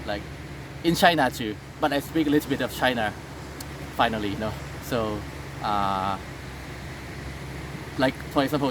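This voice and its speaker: A man from Thailand with a Thai accent, speaking English as a second language in a casual interview, halting with many fillers and restarts. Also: mid-range voice, background noise.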